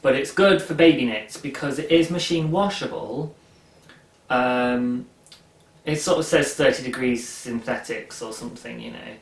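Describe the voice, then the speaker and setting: A young man talks casually from a sofa, rambling and amused at himself, with lots of ums and little asides. His voice sits at an ordinary middle pitch and sounds a bit distant from the mic. The room gives it a noticeable echo. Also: normal speed, vocal fry. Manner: chatty, rambling, self-amused, many ums. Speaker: knitting podcast host. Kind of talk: casual chat. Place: living room, seated on a sofa. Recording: echoey room, voice slightly off-mic.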